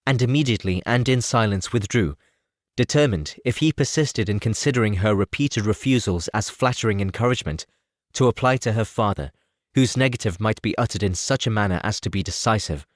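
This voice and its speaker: A man narrating a classic novel as an audiobook in a steady, expressive reading. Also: lowish voice, a little fast, no echo, clean studio sound. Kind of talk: scripted narration.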